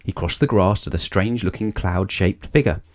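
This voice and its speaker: Low-voiced man reading a children's story. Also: normal speed, darkish tone. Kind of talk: expressive storybook narration for children.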